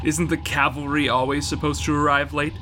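A flippant, talkative knight pilot in a sci-fi audio drama. His voice sits a little high and has a wry, cocky edge. Dramatic music plays behind him. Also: level tone, a little fast, sharp tone. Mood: cocky, joking.

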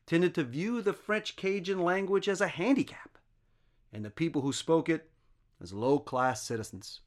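A man hosting a history podcast, giving a measured, earnest scripted narration. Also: a little high. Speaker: podcast host. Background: soft guitar music.

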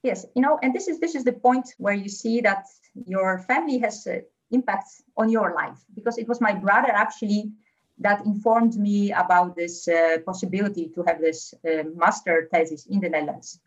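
A woman's voice: mid-range voice, normal speed, highs cut off. A young woman from Poland with a Polish accent, speaking as a guest on a podcast interview, hesitant with many fillers. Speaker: engineer guest.

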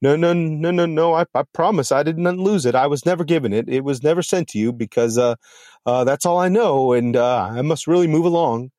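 A man's voice: fairly high pitch, fairly quick, warm tone, no echo, studio quality, pitched above the performer's own voice, natural voice. A man voicing a timid male mail carrier who stammers nervously. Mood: pleading. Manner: stammering, jittery.

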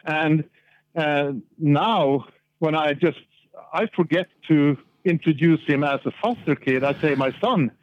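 A man's voice: on the high side, measured pace, dry recording. Norwegian man on a tinny line. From Norway. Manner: candid, plain-spoken, repeats words when thinking. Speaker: podcast guest. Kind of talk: casual conversation. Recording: tinny phone-line sound.

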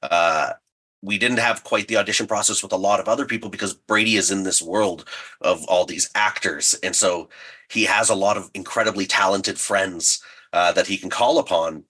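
A man's voice: deep voice, normal speed, sharp tone, breathy edge. A man speaking as an interview guest, chatting enthusiastically as a writer and creator about his work.

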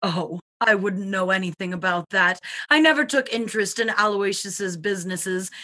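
A woman's voice: mid-range voice, normal speed, sharp tone, lightly acted. A woman playing a breezy, haughty society widow with an airy, dismissive manner in a comic radio murder mystery. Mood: haughty, cool. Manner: airy, grandly dismissive, punning.

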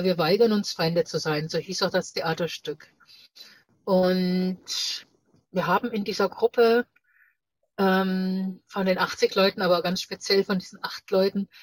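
A middle-aged or older woman from Germany recounting personal experiences as an interview guest, reflectively and haltingly, searching for words. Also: medium pitch, dry recording, rough recording. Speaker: interview guest, journalist and activist.

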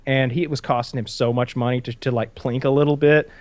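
A man's voice: mid-range voice, fairly even intonation, quick pace, no echo. A man in his thirties hosts a casual chat. He rambles with lots of restarts and swearing. The sound is close and dry, with no room around it. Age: 30s.

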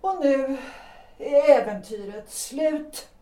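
An actress voicing Gertrud, a passionate woman pleading for love in an audio drama, hushed one moment and emphatic the next. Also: high-pitched, lightly acted. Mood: bitter. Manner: intense, pleading, shifts between hushed and emphatic. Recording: roomy echo.

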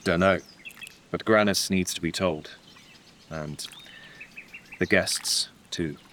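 A man's voice: low-pitched, takes it slowly, fairly bright tone, slight background noise. An actor playing a furtive, wry and friendly wartime resistance man in a naturalistic audio drama, speaking in a hesitant, understated way. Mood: hushed, serious.

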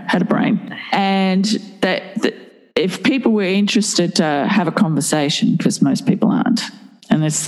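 A woman's voice: low voice, a little mellow, in a large hall, low-quality recording. A woman in her fifties talking frankly and bluntly in a candid interview, swearing casually. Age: 50s.

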